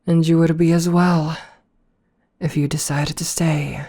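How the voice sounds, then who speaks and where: A woman playing a possessive, domineering rich CEO in an ASMR roleplay, speaking softly and coaxingly with condescending sweetness, slipping into whispers. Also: sits low, flat delivery. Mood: smug, proud. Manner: slow, soft, breathy coaxing, slipping into whispers.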